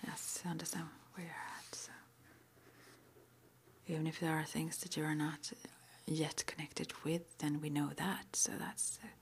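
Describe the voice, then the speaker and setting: A woman playing a calm, gentle witch school interviewer in an ASMR roleplay, whispering softly and reassuringly without hurry. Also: sits low. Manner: soft, unhurried, reassuring, with murmured acknowledgements.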